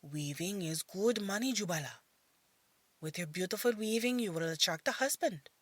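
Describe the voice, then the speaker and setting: A woman's voice: mid-range voice, expressive, normal speed, husky, no echo. A woman voicing a practical, traditional middle-aged mother with a lecturing, questioning manner in an audio drama. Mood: assured.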